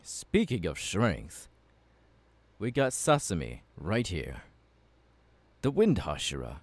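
Guy doing a fiery anime swordsman mentor for asmr roleplay, lowish voice, takes his time, dramatic pauses. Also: wildly swooping pitch, dry recording, full character voice. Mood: welcoming, instructive. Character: confident, fiery swordsman mentor, male anime demon slayer. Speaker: voice actor. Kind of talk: ASMR audio roleplay, addressing the listener.

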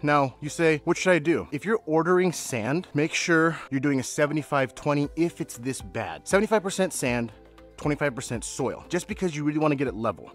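Upbeat chatty man outdoors. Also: a little high. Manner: upbeat, chatty, laughs often. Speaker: lawn care vlogger. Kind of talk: casual how-to vlog. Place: outdoors in a yard.

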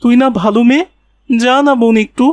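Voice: mid-range voice, fairly even intonation, fairly quick, a little mellow, lightly acted. A performer voicing a polite, earnest young village boy in a cartoon. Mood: friendly coaxing. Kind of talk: cartoon dialogue.

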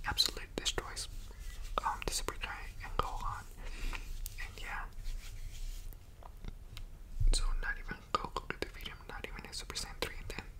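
A young man speaking in a breathy, unvoiced whisper, rambling informally and slangily in a casual ASMR style, close to a microphone in a home room. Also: a little fast, low-quality recording. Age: young adult. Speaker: ASMR video creator reviewing a show. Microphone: large USB microphone very close to the mouth.

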